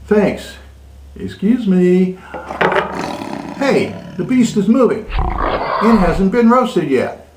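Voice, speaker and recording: Slow, swooping male storybook reader. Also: high voice, very animated, far from the mic, noisy background. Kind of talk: dramatic storybook narration. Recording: echoey room.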